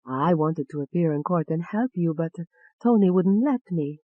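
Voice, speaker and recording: Audiobook role: female narrator as a timid young Italian woman, soft, apologetic, accented, closely recorded. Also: on the low side, expressive, moderate pace, dry recording, narrow, tinny audio. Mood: shy, apologetic.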